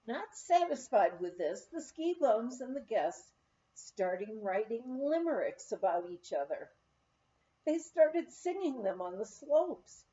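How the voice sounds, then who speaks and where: A woman reading an anecdotal memoir aloud in a measured, expressive storytelling manner. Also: on the high side, lo-fi sound. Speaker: audiobook reader of a memoir.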